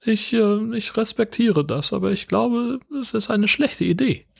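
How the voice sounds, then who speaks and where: A concerned young girlfriend, voiced by a man in a comic audio play. She speaks earnestly and hesitantly in a high, husky voice. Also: lively intonation, unhurried, heavily acted.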